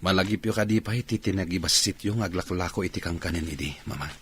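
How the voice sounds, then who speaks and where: A man's voice: low-pitched, quick pace, natural voice. A man acting a proud young job-hunter from a fallen hotel family in an Ilocano radio drama. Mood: persuading.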